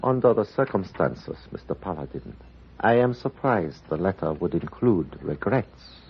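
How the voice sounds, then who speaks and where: An actor playing a grave, dignified older Chinese uncle in a 1950s radio drama, speaking formally, carefully and deliberately. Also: male voice, sits low, very animated, a little hiss or hum, full character voice. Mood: somber. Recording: muffled vintage radio recording.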